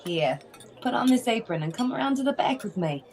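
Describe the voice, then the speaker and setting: A woman's voice: on the high side, big pitch swings, a little fast, a little mellow. A woman playing a kindly, practical cafe waitress in a radio play. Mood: kindly, brisk.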